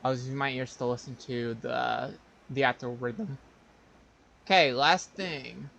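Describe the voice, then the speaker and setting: A man's voice: mid-range voice, unhurried, gravelly, a bit bright, rough recording. A man streaming a game, muttering offhand commentary that often trails off. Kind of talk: casual live-stream commentary.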